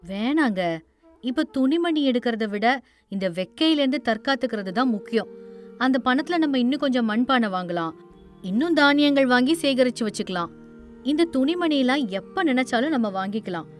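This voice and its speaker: A woman's voice: sits high, animated, moderate pace, soft background music. Woman playing Sujatha, a practical village wife. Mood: earnest. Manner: earnest, persuasive, plain-spoken. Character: sensible thrifty village wife, firm and practical. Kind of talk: storybook voice acting.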